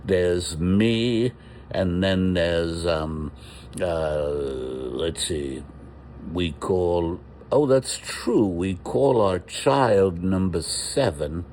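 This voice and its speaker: A man's voice: sits low, a little mellow, a little hiss or hum. A man voicing a proud, defiant prisoner who rants and rambles indignantly. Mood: proud, rambling. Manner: slow, indignant, rambling declamation.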